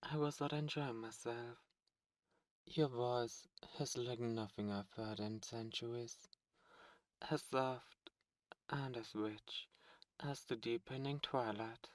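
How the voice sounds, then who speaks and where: Slow, seductive demon prince acted by a man. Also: mid-range voice, no echo, lightly acted. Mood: amused, flattering. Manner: slow, theatrical, courtly phrasing. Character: flirtatious male demon prince, imperious and smoothly seductive. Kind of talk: ASMR roleplay audio drama, intimate close delivery.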